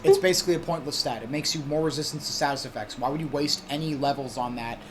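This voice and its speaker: Man, fairly high voice, podcast co-host, casual opinionated explainer, noticeable background noise. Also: quick pace. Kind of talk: casual podcast discussion about gaming. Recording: clear steady background noise.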